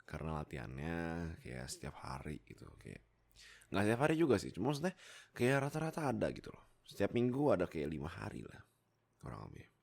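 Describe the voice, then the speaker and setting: A young man with a low, gravelly voice rambles casually into a close microphone. His delivery is playful and hesitant, swinging widely up and down as he breaks off into jokey asides. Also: huge pitch swings, a little fast, a little mellow. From Indonesia. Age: young adult. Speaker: YouTube content creator and voice actor. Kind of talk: casual rambling chat to viewers. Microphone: close desktop microphone.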